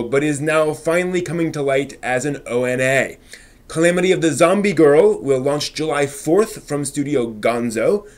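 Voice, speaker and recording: A man presenting news as a live stream host in an informal way, with somewhat halting delivery. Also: a little high.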